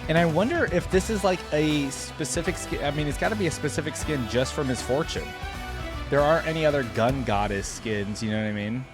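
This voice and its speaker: A man's voice: fairly high pitch, a little fast. A man giving casual, slangy, enthusiastic reaction commentary as a video host. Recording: music playing behind the voice. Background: game theme music.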